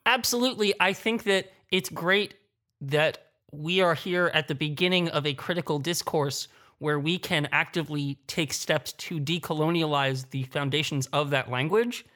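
Mid-pitched panelist in discussion. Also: moderate pace, bright, forceful tone. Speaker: roundtable panelist. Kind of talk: critical discussion.

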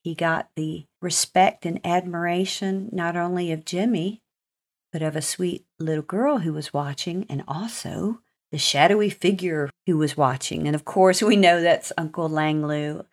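American female author-host, lowish voice, earnest, emphatic, talking encouragingly to young listeners. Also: unhurried. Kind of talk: life-lesson talk for children.

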